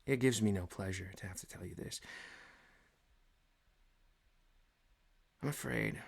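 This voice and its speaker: A man playing a stern, pompous office boss in an ASMR roleplay, speaking formally and deliberately with theatrical pauses. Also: mid-range voice, gravelly. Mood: stern, solemn. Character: stern, pompous male office boss, mock-solemn, paternal. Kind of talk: scripted ASMR roleplay monologue.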